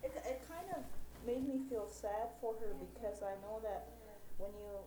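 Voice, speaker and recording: A woman of thirty-eight conducting an informal interview, halting and rephrasing her questions as she goes. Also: on the high side, a crowd in the background. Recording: echoey room sound.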